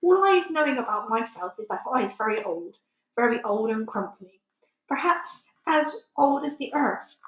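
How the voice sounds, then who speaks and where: Woman acting ancient male giant, storybook character, excitable, easily hurt, muddled speech, raised pitch, echoey room. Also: normal speed, lightly acted. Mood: matter-of-fact. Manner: muddled grammar with jumbled made-up words. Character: very old male giant, excitable, wounded, good-hearted. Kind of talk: children's storybook read aloud.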